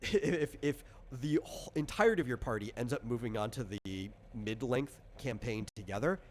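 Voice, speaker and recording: A man's voice: medium pitch, animated, moderate pace, darkish tone, a little hiss or hum. A man acting as game master, casually explaining tabletop rules in a hesitant, thinking-aloud way.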